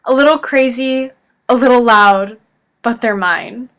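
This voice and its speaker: A woman's voice: fairly high pitch, a little slow, noisy background. A young woman narrating her own documentary in an informal, light way with some fillers.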